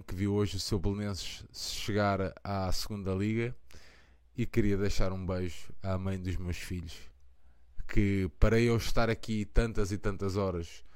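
A man's voice: fairly low pitch, a little mellow, smooth, no room sound, clean studio sound. A man in his thirties with a European Portuguese accent hosts a live fan show, talking with passion and rambling through lots of fillers. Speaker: podcast host of a fan channel. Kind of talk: casual live chat.

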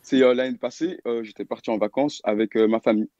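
An eighteen-year-old man from Belgium tells a story in a relaxed, slangy way. His voice sits mid-pitch, recorded through a dull, dry mic. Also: fast talker, no room sound. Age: teens. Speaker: caller telling a story. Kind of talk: casual storytelling.

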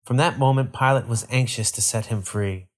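A man reading a Bible narrative aloud in a solemn, measured and formal way. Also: mid-range voice. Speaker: scripture reader.

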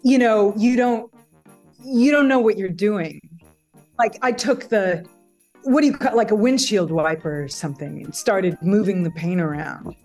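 A woman's voice: medium pitch, measured pace, a little mellow, faint music behind. A woman guest in a reflective conversational interview, thoughtful and searching for her words.

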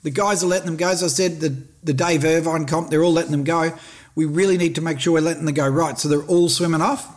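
Blunt, passionate Australian fishing host. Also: male voice, on the high side, fairly even intonation, fast. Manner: blunt, passionate, plain-spoken. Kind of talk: casual podcast talk.

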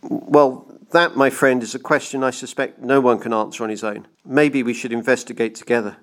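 A man's voice: medium pitch, fairly even intonation, normal speed, lightly acted. A man voicing a timid, anxious clockmaker in an audiobook story, carefully pleading and placating. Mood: earnest, coaxing. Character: timid, pleading male clockmaker placating his dangerous friend. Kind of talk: dramatised audiobook reading.

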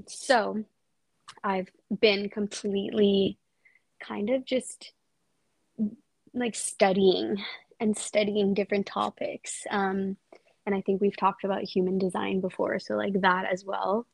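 A woman guest on a podcast who has a cold, speaking softly and casually with a husky, gravelly voice. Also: mid-range voice, fairly even intonation, no room sound, poor audio. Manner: slow, soft-spoken, trailing off.

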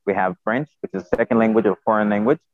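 Over a narrow, phone-like connection with no room sound, a man with a Haitian accent answers interview questions. He is a native Haitian Creole speaker with a slightly low voice. He talks hesitantly, repeating words while he searches for the next one. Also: steady tone, fast talker, no echo. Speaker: podcast guest, self-taught polyglot. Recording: tinny phone-like line.

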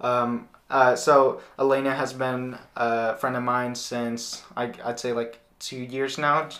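A man's voice: medium pitch, flat delivery, slow, a bit bright, reverberant. A young man hosting a podcast, introducing things in a casual, chatty way. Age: young adult. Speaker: podcast host.

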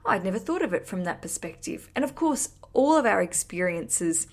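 A woman's voice: medium pitch, sing-song, fairly quick. A woman hosting a podcast, chatting casually and effusively to listeners in a cheeky, sweary, rambling way. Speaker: podcast host.

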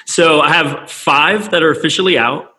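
A man's voice: on the high side, pushed and bright. A man hosting a podcast, chatting casually and laughing often with a friend he interviews. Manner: easygoing, laughs often, quick restarts. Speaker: podcast host.

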